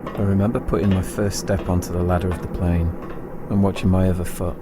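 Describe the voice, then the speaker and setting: A middle-aged English man tells an autobiographical story in a reflective way, phrasing it deliberately in short lines. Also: low-pitched, flat delivery, noisy background. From England. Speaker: podcast host and narrator. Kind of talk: autobiographical storytelling, scripted narration. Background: instrumental music.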